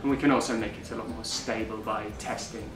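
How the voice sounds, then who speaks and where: Flat-voiced man, roomy and distant. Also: fairly low pitch, quick pace. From United Kingdom. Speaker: software developer. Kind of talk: interview explanation. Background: background music.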